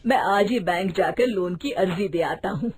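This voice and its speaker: A woman voicing a practical husband in a storybook tale, calm and reassuring. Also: fairly low pitch, normal speed, voice pushed down, lightly acted. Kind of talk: Hindi storybook voice acting.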